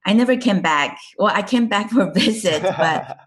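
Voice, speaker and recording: A middle-aged woman from Taiwan, whose first language is Chinese, talks easily about her life. Her voice sits mid-pitch and her telling is chatty and warm in tone. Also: animated, normal speed, breathy edge. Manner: chatty, storytelling, laughs often. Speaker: guest, clinic co-owner. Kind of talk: casual interview chat.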